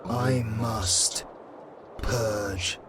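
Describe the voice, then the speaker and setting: A man playing a merciless, zealous angelic destroyer in an audio drama, chanting doom in halting phrases. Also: fairly low pitch, fairly even intonation, a crowd in the background. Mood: cold zealotry.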